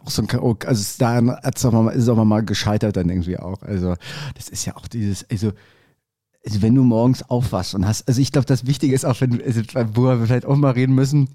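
A man's voice: mid-range voice, steady tone, fast talker, mellow, no echo, clean studio sound. A young man co-hosting a podcast, talking casually in long rambling turns with stammered restarts and cheeky jokes. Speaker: podcast co-host. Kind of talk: casual chat.

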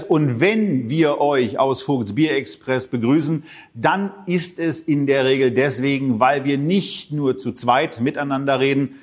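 Chatty male podcast co-host. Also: on the high side. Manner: lively, joking welcome. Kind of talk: casual podcast hosting.

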